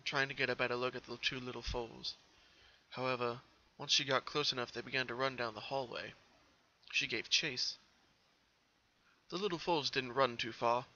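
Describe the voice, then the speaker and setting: A man's voice: medium pitch, sharp tone, highs cut off. A man narrating a story as a dramatic reading. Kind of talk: dramatic reading of fan fiction.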